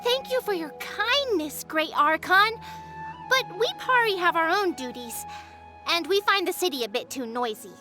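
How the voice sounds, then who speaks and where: Game casting: tiny girl companion, squeaky, animated, polite, excitable. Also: moderate pace, music in the background.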